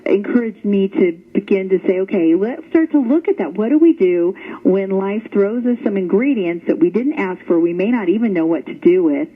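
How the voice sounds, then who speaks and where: Over a narrow, tinny phone line, a woman talks as a casual interview guest. She tells her stories expressively at a middle pitch. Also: moderate pace, hardly any pauses, husky. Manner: animated storytelling, acts out quoted reactions. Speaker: author, radio interview guest. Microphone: telephone.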